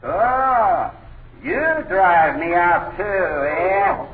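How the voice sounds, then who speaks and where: An actor playing a bitter, obsessive gardener in an old radio drama, insistent, in broken simple English with "eh" tags. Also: male voice, high voice, sing-song, drawn-out words, gravelly, narrow, tinny audio, exaggerated voice. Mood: bitter, pleading. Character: bitter, obsessive servant man, a lovesick menacing gardener. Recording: thin, noisy old radio recording, roomy and distant.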